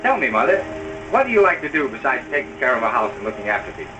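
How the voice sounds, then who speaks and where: An actor playing a young husband, a tactful and soothing peacemaker, in an old-fashioned drama style. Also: male voice, mid-range voice, very animated, quick pace, recorded from a distance, lo-fi sound, over music, natural voice. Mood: coaxing, cheerful. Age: young adult. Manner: soothing, conciliatory. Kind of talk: 1930s-style radio drama acting.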